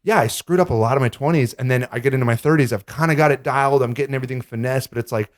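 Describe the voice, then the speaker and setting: A man in his thirties, co-hosting a podcast in an animated, jokey casual chat. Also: medium pitch. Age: 30s. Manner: animated, jokey, quick lists. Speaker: podcast co-host.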